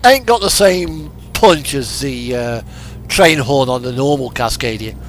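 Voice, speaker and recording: A man's voice: medium pitch, lively intonation, unhurried, a bit bright, a little hiss or hum. A man chatting casually and thinking aloud in a rambling way while playing a driving game. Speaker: video game streamer. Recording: music playing behind the voice. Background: radio music and truck engine.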